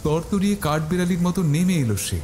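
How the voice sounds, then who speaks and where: A man's voice: fairly high pitch, expressive, a little fast, a little mellow. A man narrating a scripted first-person horror story. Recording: faint music behind the voice.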